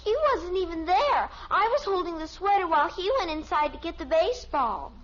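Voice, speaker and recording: Mischievous young tomboy daughter, extremely high voice, innocent and literal, muffled vintage radio sitcom. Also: female voice, animated, a little fast, a bit bright. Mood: defensive, innocent. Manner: sheepish, literal-minded excuses. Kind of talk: 1950s radio sitcom acting. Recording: muffled, hissy old radio broadcast.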